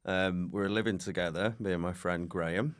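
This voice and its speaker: A man's voice: deep voice, fairly even intonation, fairly quick. A man chatting casually as a podcast guest, telling rambling, self-deprecating comic anecdotes with a giggly manner. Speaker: guest comedian.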